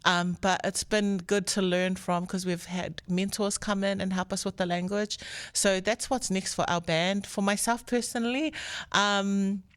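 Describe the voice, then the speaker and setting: A woman's voice: medium pitch, fairly even intonation, measured pace, clean tone. Laughing young woman chatting as a guest. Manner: laughs often, loose and chatty. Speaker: musician guest. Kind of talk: casual interview chat.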